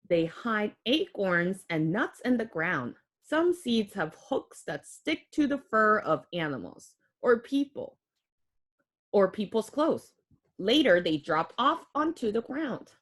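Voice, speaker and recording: A woman reading a picture book aloud to young children like a teacher, expressively, with simple explanatory asides. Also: on the high side. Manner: expressive, child-directed, with audible gasps and exclamations.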